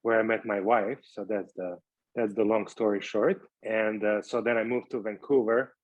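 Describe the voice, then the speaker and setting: A man's voice: fairly low pitch, steady tone, moderate pace, dry recording. A middle-aged man from Croatia, casually introducing himself as a guest on a video call. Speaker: interview guest, violin teacher. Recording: thin phone-like call audio.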